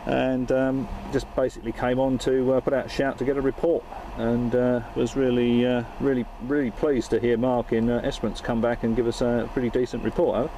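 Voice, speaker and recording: Man, amateur radio host, casual and matey, medium pitch, noisy in-car recording. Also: flat delivery, a little fast, few pauses, warm tone. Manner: jokey, matey, informal. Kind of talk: casual radio chat and vlog narration. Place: inside a parked car.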